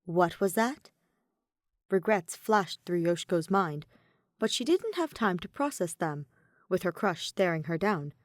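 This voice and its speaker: A woman's voice: lowish voice, lively intonation, a little slow, no room sound, studio quality. A woman reading a scripted fan story aloud as its narrator. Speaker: audio fan fiction narrator.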